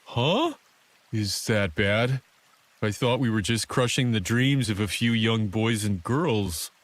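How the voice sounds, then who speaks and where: An actor playing a timid, slow-witted henchman in a video game, hesitant and stammering. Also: fairly low pitch, expressive. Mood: naive. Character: timid dim-witted nervous male henchman. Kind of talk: theatrical game cutscene voice acting.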